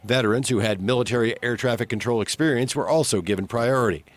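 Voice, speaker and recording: A man's voice: medium pitch, quick pace. American man narrating an investigative news report.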